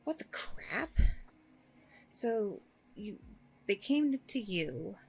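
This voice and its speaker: A woman playing a warm, chatty single working mom on a date, in a casual, rambling ASMR roleplay. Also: mid-range voice, sing-song, very slow, exaggerated voice, low-quality recording. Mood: shocked, sympathetic. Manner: casual, rambling, informal slangy talk. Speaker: roleplay performer.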